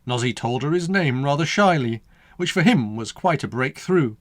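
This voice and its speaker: A man reads his own rhyming children's poem in a mid-pitched voice that rises and falls with a wide, animated lilt. His sing-song, storybook delivery leans into every rhyme. The sound is close and dry, with no room around it. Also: normal speed, dry recording.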